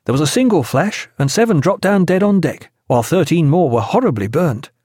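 A man's voice: fairly high pitch, animated, fairly quick, darkish tone, slightly rough, no echo, clean studio sound, voice pushed up. A man voicing an earnest naval officer telling grim sea tales in an audiobook. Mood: grave, vivid.

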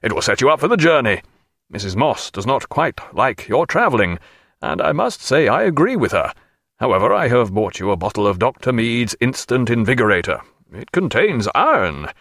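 A man voicing a brisk, hearty naval captain giving orders and coaxing a friend, in a storybook reading. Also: mid-range voice, very animated, a bit bright, no room sound, studio quality.

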